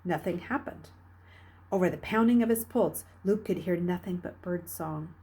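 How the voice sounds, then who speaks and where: Slightly husky woman reading a story aloud. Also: lowish voice, moderate pace. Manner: dramatic, expressive, with hushed dips and emphatic rises. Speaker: reader reading a children's novel aloud. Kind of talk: read-aloud storytelling narration.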